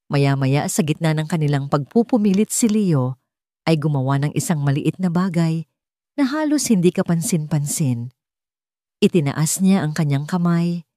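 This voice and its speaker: A woman narrating a dramatic story from a script in a measured storytelling manner. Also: sits low, dark tone, dry recording, clean studio sound.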